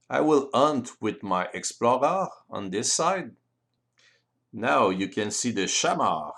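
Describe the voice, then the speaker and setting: Slow-paced French man explaining game rules. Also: medium pitch, creaky, a bit bright. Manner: careful, deliberate non-native English delivery. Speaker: board game rules presenter. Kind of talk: explanatory tutorial voice-over.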